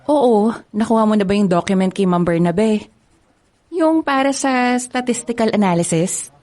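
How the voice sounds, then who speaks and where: A woman's voice: mid-range voice, expressive, normal speed, darkish tone, close to an everyday voice. An actress playing a kind, studious young college woman who is shy and eager to help in a radio drama. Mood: sweet. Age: young adult.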